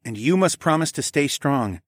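A man voicing an emotional, protective father in an audiobook, talking a little higher than his narration. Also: expressive, normal speed, no echo, clean studio sound. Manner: earnest, grumbling.

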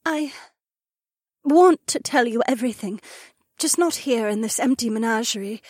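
A woman playing an anxious, fragile young fantasy bride in a dramatized audiobook, speaking emotionally and haltingly with formal phrasing. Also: on the high side, a little mellow, husky, no room sound, studio quality. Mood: small, sad.

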